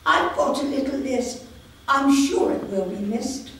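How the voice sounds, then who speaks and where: Woman poet, higher voice, slow measured reading, roomy hall, heard from afar. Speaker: poet reading her own work. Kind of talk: poetry reading at a live event. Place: community hall with live audience. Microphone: no microphone, voice projected. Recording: echoey hall, voice from far off.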